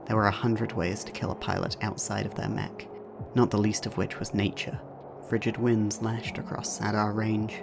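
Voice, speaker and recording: A man's voice: lowish voice, vocal fry. A man reading scripted narration for an audio drama as its narrator.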